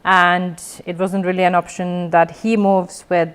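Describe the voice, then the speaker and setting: A woman from South Finland whose first language is Finnish, a tourism business owner chatting outdoors in a relaxed, matter-of-fact way with a light humor. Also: fairly low pitch, unhurried, clean tone. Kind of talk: casual interview chat. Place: outdoors by a river in Lapland.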